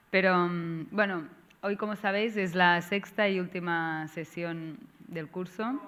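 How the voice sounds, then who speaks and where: A woman with a Catalan accent, whose first language is Catalan, opens a seminar session as its organizer, talking haltingly with frequent tag questions and restarts. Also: on the low side, fairly bright tone, a little hiss or hum. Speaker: course organizer and moderator. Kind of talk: seminar introduction.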